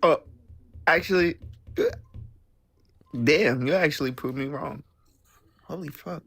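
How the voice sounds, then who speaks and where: Young man, caller on a debate show, gravelly voice, slangy, offhand, hesitant. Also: fairly high pitch, slow, fairly bright tone. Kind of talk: casual argument.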